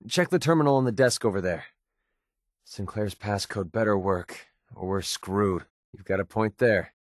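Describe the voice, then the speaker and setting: A man's voice: lowish voice, normal speed, no echo, studio quality, close to an everyday voice. An actor playing a jaded young male wasteland mercenary in a video game, blunt and cynical with an earnest, wounded side. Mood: businesslike, wry.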